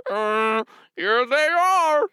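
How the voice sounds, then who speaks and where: A man's voice: very high voice, extremely slow, clear, resonant tone, no echo, studio quality, exaggerated voice. A man playing a chatty, earnest talking donkey who tells stories in a folksy way in a children's audio drama. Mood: eager. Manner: animated, folksy storytelling.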